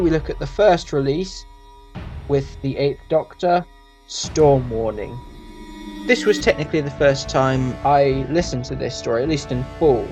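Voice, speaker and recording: Male reviewer over soft guitar music. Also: a little high, highs cut off. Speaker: video reviewer. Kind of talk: casual scripted review commentary.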